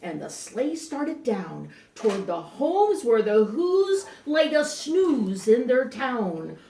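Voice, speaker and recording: An older woman storyteller giving an expressive, theatrical read-aloud of a rhyming children's storybook, leaning on drawn-out emphasis. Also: on the high side, creaky, a little hiss or hum. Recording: echoey room, recorded from a distance.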